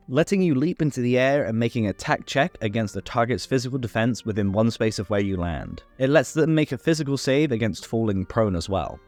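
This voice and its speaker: A man's voice: mid-range voice, a little mellow. A man hosting a tabletop game tutorial, narrating a scripted explainer step by step with informal asides.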